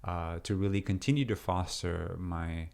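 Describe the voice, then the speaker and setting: Very deep-voiced man, reflective. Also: steady tone, moderate pace, gravelly, darkish tone. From Peru. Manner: reflective, many fillers and restarts. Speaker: deacon and seminarian, interview guest. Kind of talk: casual interview conversation.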